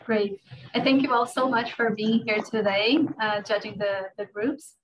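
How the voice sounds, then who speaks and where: A woman's voice: mid-range voice, steady tone, moderate pace, dull audio, a little hiss or hum. A woman moderating an event over a video call. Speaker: session moderator.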